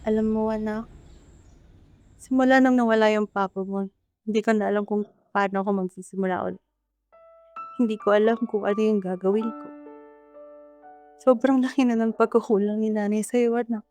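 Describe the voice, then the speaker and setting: A woman's voice: medium pitch, fairly even intonation, normal speed, long pauses, a little mellow, close to an everyday voice. A Filipino woman playing a loving, remorseful mother in an emotional radio drama, speaking in a pleading, heartfelt way. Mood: remorseful, tender. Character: remorseful, loving widowed mother apologizing to her eldest son. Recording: piano music under the voice.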